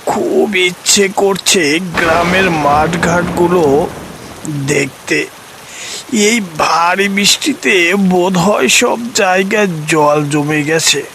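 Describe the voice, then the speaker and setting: A man's voice: high voice, takes it slowly, fairly bright tone, a little hiss or hum, exaggerated voice, poor audio. A man voicing an eager, wistful village boy with a childlike, pleading manner in a cartoon story.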